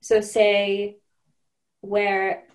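A woman's voice: medium pitch, completely flat delivery, extremely slow. A woman, a podcast guest speaking thoughtfully, with frequent fillers and restarts. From Finland. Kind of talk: conversational interview.

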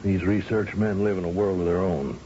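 An actor playing a commanding, suspicious governor in an old-time radio science fiction drama. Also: male voice, deep voice, steady tone, normal speed, mellow, close to an everyday voice. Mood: stern, suspicious. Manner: commanding, demanding, urgent. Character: blustering, suspicious governor of a space settlement. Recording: dull, noisy old radio drama recording.

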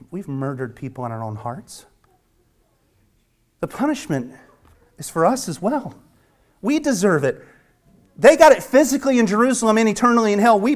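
A man from the American South preaching a sermon with a Southern accent, earnest and emphatic, repeating phrases and dropping at times to a hush. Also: medium pitch. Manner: swings from hushed near-whisper to loud emphatic preaching. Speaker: pastor.

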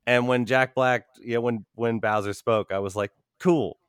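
A man's voice: mid-range voice, steady tone, measured pace, clean tone, dry recording, studio quality. A man in casual podcast chat as a host, loose and profane, often acting out imaginary quotes. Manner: peppers talk with acted-out imaginary quotes.

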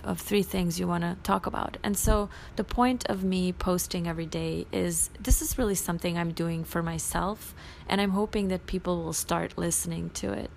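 Woman doing her own podcast, bosnian first language, lowish voice, rambly, goes almost whispery. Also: creaky, slight background noise. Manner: rambling, self-reflective, drops into soft near-whispers. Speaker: podcast host. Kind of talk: casual solo podcast monologue.

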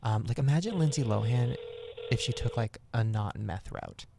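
Brash young man with a gravelly edge. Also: mid-range voice, moderate pace, very noisy. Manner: brash, crude and playful. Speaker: host. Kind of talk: casual podcast chat and ad read.

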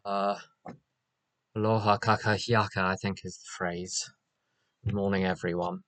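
An older man with a London accent, giving a measured, wry personal share at a recovery meeting. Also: sits low, flat delivery, a bit bright, dry recording. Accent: London English. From England. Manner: measured, wry and reflective, with fillers. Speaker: AA meeting speaker. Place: meeting room.

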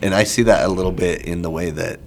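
A man's voice: low-pitched, fairly even intonation, quick pace, a little hiss or hum. A man co-hosting a podcast, playful and jokey, rambling on in casual chat. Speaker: podcast co-host.

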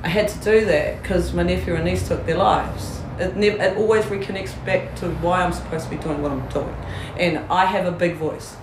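A woman's voice: fairly low pitch, steady tone, normal speed, roomy sound, noisy background. A woman with a New Zealand accent, a guest in a candid interview, talking bluntly, swearing freely and laughing often.

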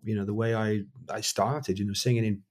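A man's voice: on the low side, steady tone, brisk, darkish tone. A middle-aged man, a musician being interviewed, talking casually and rambling with lots of restarts and self-deprecating asides.

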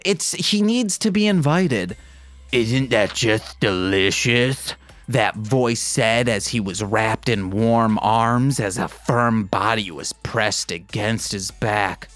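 A man's voice: on the low side, slow, few pauses, a bit bright. A man hosting a comedic podcast, reading fan fiction in a mock-sultry way and breaking off into self-mocking asides. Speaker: podcast host.

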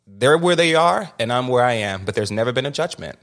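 A young man hosts a candid sit-down conversation, speaking very quickly. He pushes his points hard, stumbling into restarts and doubled words as his thoughts race ahead. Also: medium pitch, animated, fairly bright tone. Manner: rapid, emphatic, with many restarts and repeated words. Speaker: podcast host.

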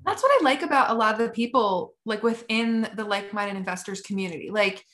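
A woman's voice: mid-range voice, brisk, fairly bright tone. A woman in her thirties, a podcast guest, talking in an upbeat, encouraging, conversational way. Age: 30s. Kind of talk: casual interview chat.

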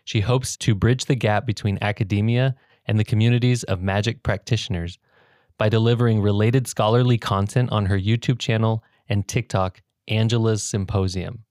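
Low-voiced man hosting a podcast. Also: fairly even intonation, normal speed, darkish tone, dry recording, studio quality. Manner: hesitant, many ums and restarts. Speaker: podcast host. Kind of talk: conversational interview.

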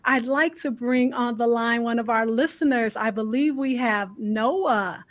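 A woman's voice: fairly high pitch, measured pace. A woman hosting a live radio call-in interview, speaking in an affirming, encouraging way. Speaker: host of a radio storytelling show.

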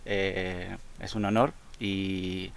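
Gravelly Argentine man in his forties. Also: sits low, little pitch movement, drawn-out words. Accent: Argentine Spanish, softened by years in Spain. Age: 40s. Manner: hesitant, with many fillers. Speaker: guest. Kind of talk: podcast interview.

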